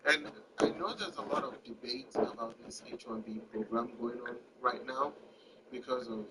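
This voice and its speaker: A man's voice: mid-range voice, moderate pace. A man in his thirties from Ghana with a Ghanaian accent, telling a personal story in a reflective, candid way. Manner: reflective, candid, with frequent um fillers. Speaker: vlogger. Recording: distant mic with faint background noise.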